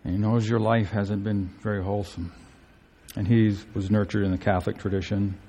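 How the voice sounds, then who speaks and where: A male pastor preaching an earnest sermon, his voice climbing high to stress key phrases. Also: level tone, a little fast, mellow. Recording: long hall reverb.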